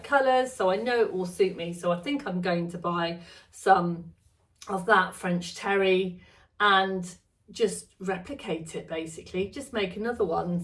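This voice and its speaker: A woman chatting casually to camera about sewing from her home studio, her voice a little gravelly, rambling and correcting herself as she goes. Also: mid-range voice, recorded from a distance. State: slightly gravelly voice. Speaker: sewing vlogger.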